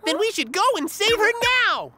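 A woman voicing an excitable, loyal young bus in a children's cartoon, with an exclamatory delivery. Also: very high-pitched, moderate pace, heavily acted. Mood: loyal, urging.

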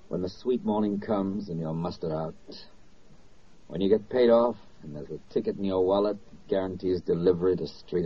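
Husky, oratorical American radio narrator. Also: male voice, deep voice. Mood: grave, tender. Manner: oratorical, declamatory, emphatic. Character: solemn, stirring male narrator addressing soldiers and sailors. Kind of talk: 1940s radio drama narration. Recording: dull old 1940s radio recording.

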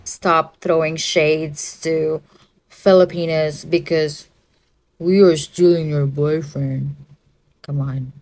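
Casual young woman, lowish voice. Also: fairly even intonation, slow, darkish tone. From Philippines. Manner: casual, chiding. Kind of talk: selfie video monologue. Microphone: phone at arm's length.

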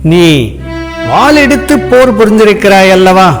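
A man's voice: sits high, normal speed, music in the background, natural voice. A man voicing an older revered sage in a radio play, giving terse, authoritative instructions. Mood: commanding. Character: old revered male sage, calm and commanding. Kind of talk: radio drama acting.

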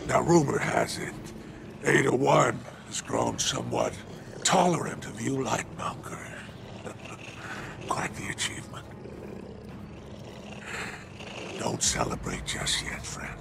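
Gravelly alien mob boss for a game, sly n menacing, slow drawn out lines. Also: male voice, wildly swooping pitch, noisy background, exaggerated voice. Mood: sly amusement. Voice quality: deep gravelly, growling. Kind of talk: video game character acting.

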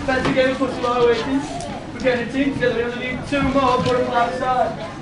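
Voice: medium pitch, level tone, fast talker, over a noisy crowd, natural voice. A performer playing a vain, pompous young prince in an amateur stage pantomime, declaiming in an echoey hall. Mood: breezy, self-satisfied. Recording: echoey hall, distant mic, very noisy audience.

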